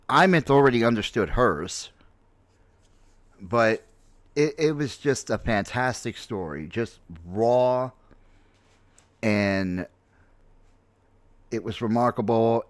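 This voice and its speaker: A man in his late forties giving casual, unscripted opinion commentary on a game, halting but emphatic, repeating phrases for stress. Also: medium pitch, no echo. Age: late 40s. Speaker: gaming video host and streamer.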